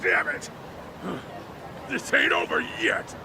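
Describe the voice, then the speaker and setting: An actor playing a bullying, short-tempered male street thug, gravelly and blustering, in a game dub. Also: takes it slowly, background noise, close to an everyday voice. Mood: pained anger. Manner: threatening, blustering. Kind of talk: English dub game cutscene acting.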